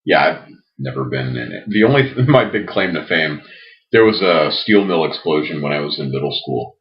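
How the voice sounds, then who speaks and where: A man with a deep voice and very lively, swooping intonation chats as a podcast co-host. He is wisecracking and tells casual anecdotes. The recording sounds a bit dull and lo-fi. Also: moderate pace. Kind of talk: casual comedic chat.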